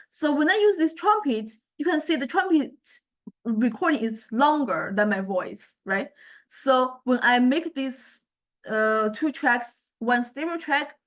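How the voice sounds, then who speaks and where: A woman with a somewhat high-pitched voice gives an informal, hands-on lecture. Her delivery is halting and hesitant, with words often repeated or restarted as she works through each step of the explanation. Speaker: music technology lecturer. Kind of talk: informal software demonstration lecture.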